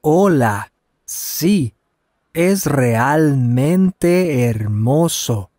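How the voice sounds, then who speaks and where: Man acting as a polite, very slow-speaking traveler. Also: mid-range voice, expressive, darkish tone, no room sound, clean studio sound. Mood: polite, friendly. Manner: deliberate, carefully enunciated. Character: polite Canadian traveler practicing his Spanish. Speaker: voice actor in language lesson dialogues. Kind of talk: scripted learner dialogue, each phrase said slowly then at normal speed.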